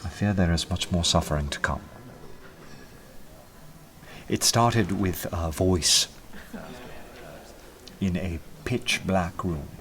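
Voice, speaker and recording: An actor playing a haunted, earnest detective in a radio drama, intense, fearful yet resolute. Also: male voice, sits low, slow, long pauses, fairly bright tone. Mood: haunted, tender.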